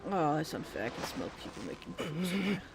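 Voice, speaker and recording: A woman's voice: on the low side, sing-song, fast talker, gravelly, noisy background. A woman giving casual video game commentary as a streamer, muttering short offhand remarks to herself. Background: game music.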